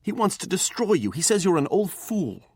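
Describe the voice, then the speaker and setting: A man voicing an anxious, earnest teenage boy apprentice who talks fast, pleading and emotional, in a storybook reading. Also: medium pitch, a little mellow, no echo, clean studio sound, voice pushed up, natural voice. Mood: angry.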